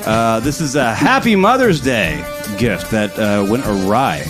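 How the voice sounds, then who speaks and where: A man co-hosting a casual comedy podcast, crude and sarcastic. He talks slowly, but his pitch leaps around between tired low mutters and loud outbursts. Faint music plays under the recording. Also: very animated. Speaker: podcast co-host.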